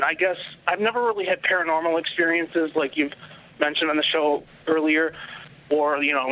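Higher-voiced caller from Philadelphia. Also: male voice, highs cut off. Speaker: first-time caller. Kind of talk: phone call-in.

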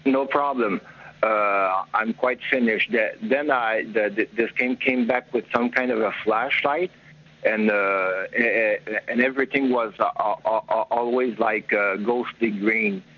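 A man from Montreal calls in to a radio show with a low, husky voice. He stammers and keeps restarting his sentences, and the line sounds muffled. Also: a little slow. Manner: hesitant, stammering, many restarts. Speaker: caller. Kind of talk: phone call-in story.

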